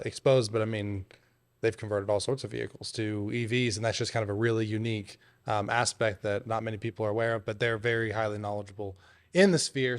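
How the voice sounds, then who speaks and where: Chatty male podcast host, lots of fillers. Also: on the low side. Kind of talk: casual podcast intro.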